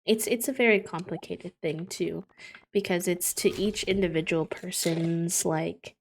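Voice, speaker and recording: Slightly croaky, low-voiced woman streamer. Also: fairly even intonation, measured pace. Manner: thoughtful, hesitant, many fillers. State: slightly croaky, just woke up. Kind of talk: casual livestream chat while playing.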